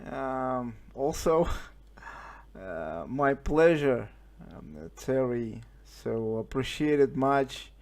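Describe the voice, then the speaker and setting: Male radio hobbyist, Russian accent. Very slow, halting, mellow delivery, casual on-air chat. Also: medium pitch, lively intonation. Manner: hesitant, halting, searching for words. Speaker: amateur radio operator. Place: at home, indoors.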